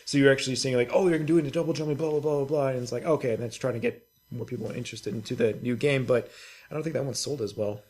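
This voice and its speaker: A man co-hosting a podcast in casual banter about video games, crude, joking, self-deprecating and quick to swear. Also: mid-range voice, fairly even intonation, a little mellow, husky. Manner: crude, joking, freely profane. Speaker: podcast co-host.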